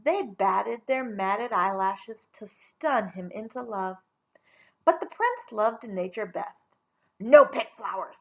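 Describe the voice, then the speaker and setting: A woman reading a children's story aloud in a dramatic, deliberate storytelling manner. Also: mid-range voice, expressive, lo-fi sound. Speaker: storybook reader. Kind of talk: expressive children's read-aloud narration.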